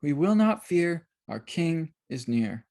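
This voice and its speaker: Storybook heroine read by a narrator: brave girl, firm, earnest, slightly raised, animated pitch. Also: slow pace. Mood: steeling herself. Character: brave, faithful girl leading young pilgrims.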